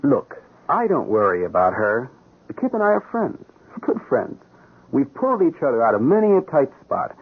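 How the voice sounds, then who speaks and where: An actor playing a proud but anxious police sergeant in an emotional, emphatic radio drama style. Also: male voice, medium pitch, very animated, moderate pace, husky. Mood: defensive, earnest. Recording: muffled, band-limited radio drama recording.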